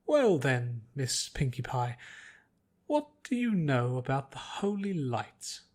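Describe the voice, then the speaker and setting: A dignified male archbishop from a fantasy storybook, as a man reads him. He is kindly and paternal. His phrasing is measured and formal. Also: medium pitch, sing-song, darkish tone. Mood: courteous, curious.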